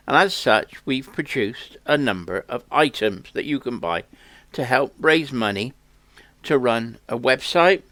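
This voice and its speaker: A man's voice: fairly high pitch, bright, forceful tone. A man hosting a country sports channel, making an earnest, plain-spoken campaign appeal to viewers. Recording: echoey room.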